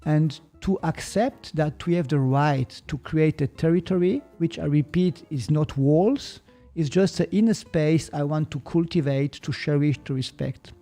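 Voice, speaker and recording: A man with a Swiss German accent, explaining ideas on a podcast in a slow, reflective way and sometimes searching for English words. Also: fairly high pitch, warm tone, faint music behind. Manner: slow, thoughtful, gets stuck on English words. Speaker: podcast guest, martial arts teacher.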